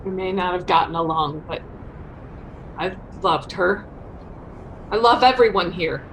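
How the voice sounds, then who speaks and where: A woman playing a caring, steady survivor in a dramatic table read over a video call. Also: fairly low pitch, unhurried, a little hiss or hum, rough recording. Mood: sorrowful. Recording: video call with faint music.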